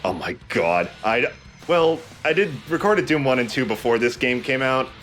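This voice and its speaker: A man's voice: medium pitch, expressive, normal speed, a bit bright. A man hosting a let's play with casual, informal commentary, enthusiastic about small details. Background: game music.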